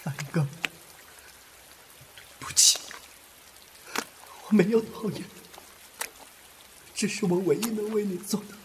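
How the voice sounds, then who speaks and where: A man's voice: sits high, slow, pauses often, a bit bright, slight background noise. An actor playing a proud, petulant young nobleman with haughty, old-fashioned phrasing in an audio drama. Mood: sorrowful, apologetic. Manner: haughty, archaic turns of phrase.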